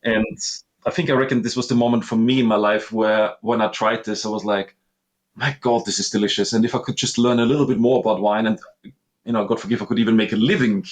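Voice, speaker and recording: A man in his thirties with an Austrian accent speaks as an interview guest in a somewhat low voice. He tells his story in an earnest, reflective way. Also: fairly even intonation, quick pace. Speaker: guest, master sommelier. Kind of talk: conversational interview.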